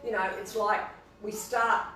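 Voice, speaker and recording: Aussie woman co-hosting, warm teasing chat, echoey room, mic far off. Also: medium pitch, flat delivery, unhurried, slight background noise. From Italian family. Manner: warm, teasing, repeats herself. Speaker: fashion designer co-host. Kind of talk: casual live-stream chat. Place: clothing studio with a rack, indoors. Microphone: far camera. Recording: roomy echo from far off.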